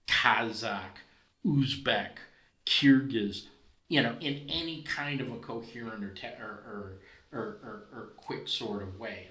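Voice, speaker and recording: A man's voice: medium pitch, sharp tone, roomy sound. A man hosting a podcast who talks in a discursive, conversational way with many asides. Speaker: podcast host. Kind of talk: conversational interview.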